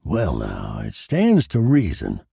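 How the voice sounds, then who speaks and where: A man's voice: rumbling low, wildly swooping pitch, takes it slowly, vocal fry, dark tone, breathy edge, dry recording, clean studio sound, pitched below the performer's own voice, heavily acted. A man playing a flustered, blustering police detective in a dramatised story, complaining as he loses control. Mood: vague, weary. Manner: complaining, grasping for reasons. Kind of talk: dramatised short story reading.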